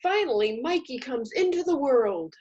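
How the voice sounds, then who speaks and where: A woman's voice: sits high, lively intonation, normal speed, darkish tone, breathy edge, highs cut off. A woman comedian reading scripted comedic narration with wry commentary.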